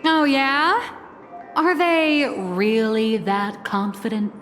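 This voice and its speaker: A woman's voice: fairly high pitch, fairly even intonation, takes it slowly, fairly bright tone, in a large hall, soft background music. A female actor playing a cool, sardonic, commanding schemer in a video game, with a dry, teasing manner.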